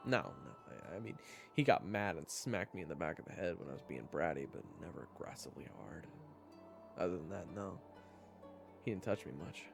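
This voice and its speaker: A man's voice: low voice, lively intonation, fairly quick, gravelly, music in the background. A man playing an earnest, candid human traveler in a fantasy audio roleplay, speaking casually and expressively. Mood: candid, rueful. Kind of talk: audio roleplay acting with first-person narration.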